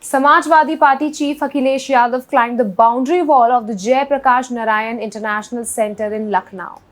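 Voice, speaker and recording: A woman with an Indian English accent reading scripted news as an anchor. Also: sits high.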